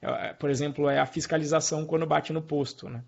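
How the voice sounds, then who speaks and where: A Brazilian man gives an informal live programming lesson in a fairly high, gravelly voice. He explains in a colloquial way and often checks that his listeners follow. The recording sounds dull and lo-fi. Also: fairly even intonation, quick pace. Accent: Brazilian Portuguese. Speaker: programming teacher. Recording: dull lo-fi online stream.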